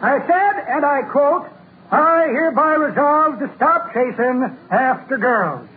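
An actor playing a cheerful, wisecracking old-timer with folksy talk in a vintage radio comedy. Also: male voice, extremely high pitch, expressive, slow, heavily acted. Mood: jovial, joking. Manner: folksy, colloquial, calls everyone kids and daughter. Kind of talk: 1940s radio comedy acting. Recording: scratchy, narrow-band old radio broadcast.

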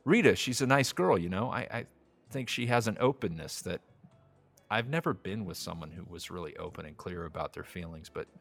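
A man's voice: low-pitched, lively intonation, brisk, gravelly, a bit bright, heavily acted. Anxious single man, music behind him. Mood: halting, earnest. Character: anxious, self-deprecating single man dreading online dating. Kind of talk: naturalistic audio drama dialogue.

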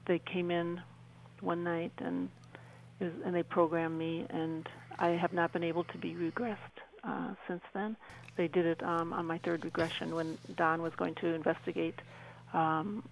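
Gravelly-voiced woman giving an earnest personal account. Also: lowish voice, flat delivery, moderate pace, a little hiss or hum, low-quality recording. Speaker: radio show guest.

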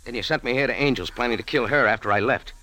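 An actor playing a tough, wry adventurer and café owner, narrating in punchy hardboiled style for 1940s radio. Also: male voice, medium pitch, fast, bright, forceful tone, a little hiss or hum, natural voice, rough recording. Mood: cool, wry. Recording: 1940s radio recording with prominent music.

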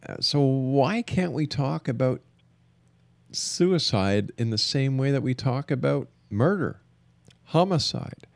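A man hosting a radio interview, framing questions haltingly and repeating words as he goes. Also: mid-range voice, a little mellow, no room sound. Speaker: radio show host.

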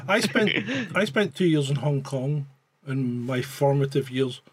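A man's voice: medium pitch, animated, unhurried, slightly rough. A Scottish man co-hosting a live stream in casual banter, rambling and sweary with dry humour. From Scotland.

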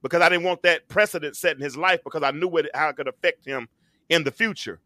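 A man hosting a casual talk show, animated and often repeating and restarting his phrases, with some bass in his voice. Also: quick pace, no echo, clean studio sound. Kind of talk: casual chat.

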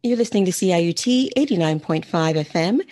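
A woman's voice: fairly low pitch, animated, brisk, a little mellow, faint music behind. A woman hosting a community radio interview, asking direct questions. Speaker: radio host.

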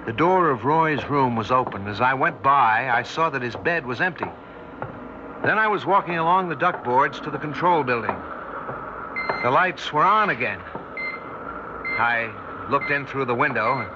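Actor as haunted scientist in dull radio drama. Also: male voice, medium pitch, lightly acted. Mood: uneasy recollection. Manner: tense, deliberate, dramatic pauses. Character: haunted younger male scientist recounting a terrifying memory. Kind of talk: 1960s radio drama acting, first-person narration. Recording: dull 1960s radio recording, loud dramatic music.

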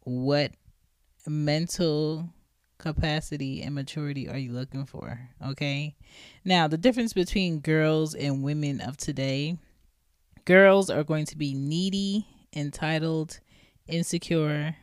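Woman, 30s, podcast host, blunt and emphatic, profane, low-pitched, dry recording. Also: unhurried, clean tone, no echo. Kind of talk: casual monologue.

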